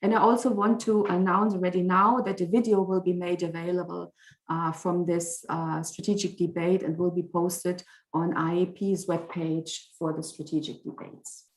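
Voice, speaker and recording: A woman moderating an online debate over a video call, speaking in a formal, measured and orderly way. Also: medium pitch, reverberant, poor audio.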